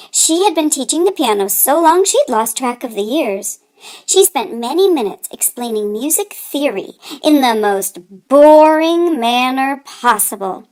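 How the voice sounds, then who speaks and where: Slow, high-pitched, animated woman storyteller. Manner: deliberate, dramatic emphasis. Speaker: storyteller reading a children's book aloud. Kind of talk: expressive read-aloud narration for children.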